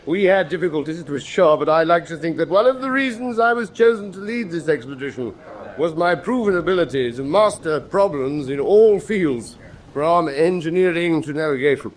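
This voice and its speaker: A self-important middle-aged British naval officer played by a male actor holds forth in a radio play. His voice sits a little high, and he tells his tales with smug, theatrical pomp. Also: expressive, normal speed, close to an everyday voice. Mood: boastful. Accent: British English. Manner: pompous, self-satisfied, theatrical storytelling. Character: pompous, boastful middle-aged British naval commander spinning war tales. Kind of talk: radio drama acting.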